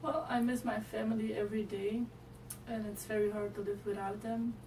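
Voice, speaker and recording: A woman's voice: mid-range voice, fairly even intonation, moderate pace, warm tone. A woman from Belgium, an international student, speaking plainly and sincerely about life abroad. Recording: distant mic, noisy background.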